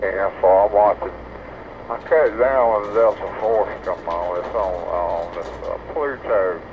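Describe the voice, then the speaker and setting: A man's voice: deep voice, measured pace. A man chatting casually over a CB radio transmission. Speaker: CB radio operator. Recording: thin narrowband CB radio, faint static.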